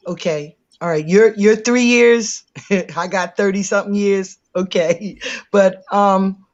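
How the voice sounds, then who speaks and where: A middle-aged man in recovery talking bluntly in a casual debate, often repeating himself. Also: high voice. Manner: blunt, repetitive, talks over others. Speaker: recovering alcoholic. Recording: dull lo-fi audio.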